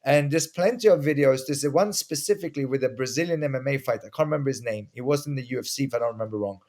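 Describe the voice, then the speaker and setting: A middle-aged man from Sweden talks earnestly in a casual interview. His voice sits at an ordinary pitch, and he often restarts his sentences as he explains things through stories. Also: fast talker, a little mellow. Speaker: guest, martial arts instructor.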